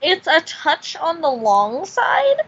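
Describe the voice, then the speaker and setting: A woman's voice: high-pitched, huge pitch swings, slow, fairly bright tone. A woman chatting casually as co-host of a fan video, hesitant and often correcting herself. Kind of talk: casual chat. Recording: dull lo-fi sound, music behind.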